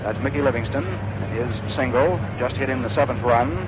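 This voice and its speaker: An American man calling baseball as a 1940s radio play-by-play announcer, in measured, deliberate phrases. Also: medium pitch. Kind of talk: 1940s radio sports commentary. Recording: noisy vintage 1940s radio broadcast. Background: loud steady background noise.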